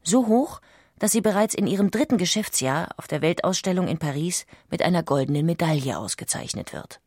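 A woman's voice: on the low side, expressive, a little fast, darkish tone, dry recording, studio quality. A woman reading a scripted documentary narration as an audiobook narrator.

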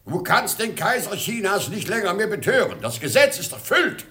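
Weary old emperor, doting but exasperated, high and sharp, stage-like radio drama. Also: male voice, big pitch swings, normal speed, exaggerated voice. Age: older. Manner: declamatory, exasperated. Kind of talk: theatrical German radio play acting.

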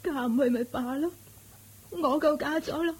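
An actress playing a mistreated, despairing young wife in a radio drama, talking in a weepy, pleading way. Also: female voice, high voice, normal speed, darkish tone, natural voice. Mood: distraught. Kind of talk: Cantonese radio drama acting.

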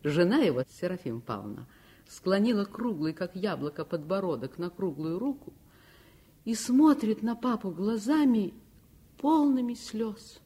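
An actress playing a tender storyteller recalling childhood, with measured, lyrical literary narration in a Soviet radio play. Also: female voice, mid-range voice, animated, warm tone, husky. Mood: wry, descriptive. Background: music.

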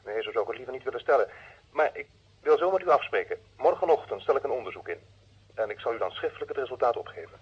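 An actor playing a cautious, obliging official in a radio play, husky and officiously polite, on a muffled old recording. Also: male voice, mid-range voice, animated, fast.

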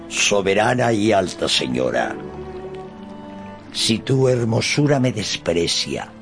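An actor playing a deluded, love-struck old knight errant with pompous, flowery declamation in a radio drama. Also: male voice, deep voice, exaggerated voice. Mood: declaiming. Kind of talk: Spanish-language radio drama acting. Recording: studio radio drama with background music.

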